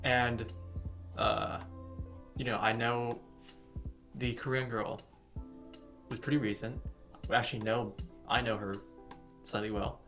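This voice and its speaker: A man's voice: medium pitch, flat delivery, fairly bright tone, faint music behind. A young man co-hosting a casual podcast, teasing his co-hosts and joking between topics. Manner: joking, teasing, wraps up with quick plugs. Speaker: podcast co-host.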